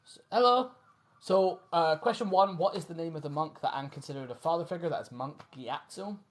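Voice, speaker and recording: Higher-voiced Scottish man hosting a quiz. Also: a little fast. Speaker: quiz host. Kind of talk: live-streamed fan quiz hosting, casual chat.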